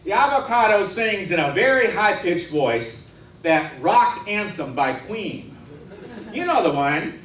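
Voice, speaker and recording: High-pitched theatrical man storytelling in a roomy hall. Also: big pitch swings, fairly bright tone. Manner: theatrical, mock-serious, with dramatic pauses. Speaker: humorous contest speaker. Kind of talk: comic tall-tale storytelling on stage. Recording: roomy hall, distant mic, faint noise.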